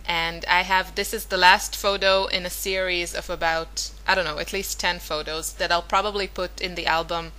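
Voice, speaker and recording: Woman, 30s, native Hebrew speaker; casual rambling crafting narration with a bright, slightly low voice. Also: fairly even intonation, normal speed, hardly any pauses, a little hiss or hum, poor audio. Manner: chatty, rambling, many fillers and self-corrections. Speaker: scrapbooking vlogger.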